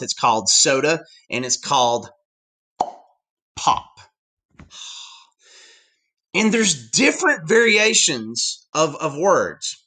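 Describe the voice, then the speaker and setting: A middle-aged man with a Southern American accent, teaching a class in a chatty, animated way. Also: medium pitch. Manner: chatty, animated, reacts with surprised interjections. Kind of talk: classroom lecture.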